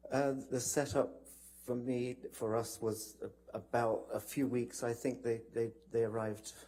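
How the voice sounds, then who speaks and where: A man's voice: medium pitch, level tone, measured pace, warm tone, rough recording. A man testifying as a witness in court, recounting from memory in polite, hesitant, broken phrases.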